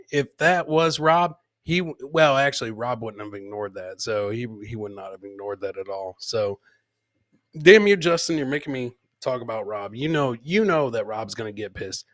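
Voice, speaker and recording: A man's voice: medium pitch, expressive, moderate pace, fairly bright tone, no echo. A man hosting a comedy podcast, chatting casually and bantering with viewers in informal, profane language. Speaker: podcast host. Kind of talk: casual comedic livestream chat.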